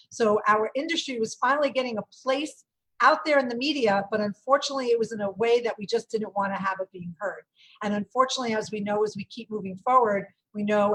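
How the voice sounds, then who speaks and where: Husky New York woman with a big voice. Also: fairly high pitch, rough recording. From Brooklyn, New York. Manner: big, emphatic voice. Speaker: event company owner. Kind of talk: panel discussion on a video call. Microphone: video call.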